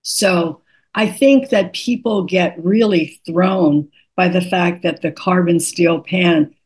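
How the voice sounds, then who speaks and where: An older woman, a cookbook author, patiently explaining cooking tips in an interview, her voice slightly raspy today. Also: lowish voice, slow, darkish tone. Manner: patient, instructive, methodical.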